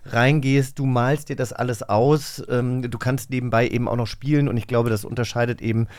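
A man's voice: medium pitch, fairly even intonation, fairly quick, a little mellow, smooth, dry recording. A man speaking as an interview host, chatting informally in a studio. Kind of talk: casual studio conversation. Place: recording studio.

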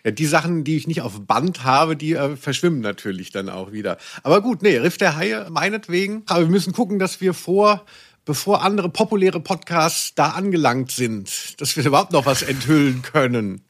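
A man with a somewhat high-pitched voice co-hosts a podcast. He chats casually, with a teasing, mock-serious way of putting things. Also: lively intonation, normal speed, a bit bright. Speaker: podcast co-host.

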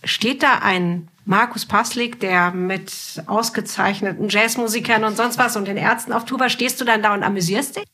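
A woman hosting a podcast in a casual conversational style, chatty, telling little stories and putting quick questions. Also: medium pitch, steady tone, pushed and bright. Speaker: podcast host and writer.

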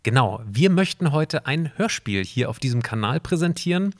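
A man's voice: mid-range voice, big pitch swings, moderate pace, dry recording. Man introducing a podcast, dry close sound. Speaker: podcast co-host and exhibition curator.

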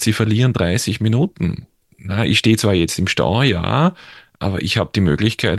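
A man's voice: deep voice, no echo, clean studio sound. A man from near Vienna with an Austrian accent, talking in a casual conversation and often restarting his sentences. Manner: hesitant, many restarts and fillers. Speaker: podcast guest.

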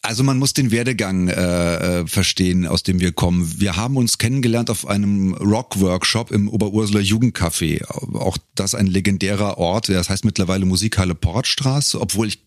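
Middle-aged male guest, very low creaky voice, casual storyteller who pauses to choose his words. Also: dry recording, clean studio sound. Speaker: podcast guest, singer and professional voice-over speaker.

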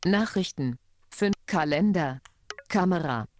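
A man's voice: on the high side, animated, unhurried. A synthetic male screen reader voice announcing menu items in short, clipped phrases.

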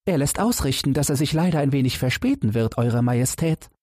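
A man playing a quick, polite titmouse steward. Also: mid-range voice, expressive, a little mellow, husky, dry recording, studio quality, voice pushed up. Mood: deferential.

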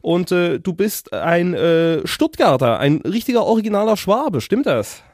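A man's voice: sits high, big pitch swings, measured pace, a little mellow, no room sound, clean studio sound. A man hosting a podcast, putting casual questions to a guest in a hesitant, roundabout way. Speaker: podcast interviewer, host. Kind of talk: casual conversational interviewing.

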